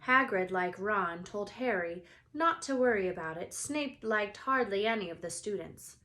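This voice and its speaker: A woman's voice: mid-range voice, animated, moderate pace, sharp tone. A woman narrating a children's book as a read-aloud storyteller. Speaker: storybook reader.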